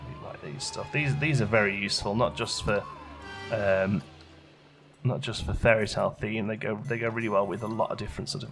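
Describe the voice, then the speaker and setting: Low-voiced, gravelly man doing game commentary. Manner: chatty, thinking aloud as he plays. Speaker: gaming channel host. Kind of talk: casual let's play commentary.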